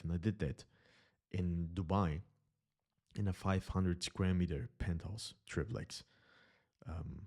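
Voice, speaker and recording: A man with a German accent, a native German speaker, earnestly teaching about consciousness over slides and often pausing to correct his words. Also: sits low, a little mellow. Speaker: consciousness mentor.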